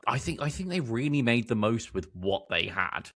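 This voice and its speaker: A man's voice: medium pitch, sing-song, moderate pace, a bit bright. A man chatting casually as a podcast panellist, informal and emphatic in how he gives his views. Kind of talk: casual chat.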